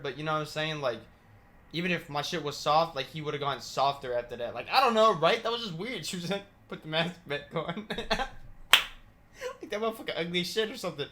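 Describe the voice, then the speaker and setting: Bright-voiced young man, rambling and slangy. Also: fairly high pitch. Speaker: reaction video host. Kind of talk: casual reaction commentary.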